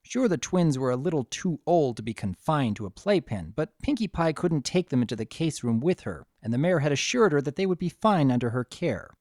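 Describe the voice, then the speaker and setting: A man's voice: on the high side, big pitch swings, fairly quick, few pauses, a little mellow, no room sound, clean studio sound. A man reading a story aloud as a narrator, in a dramatic scripted storytelling style. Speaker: narrator of a fan fiction story.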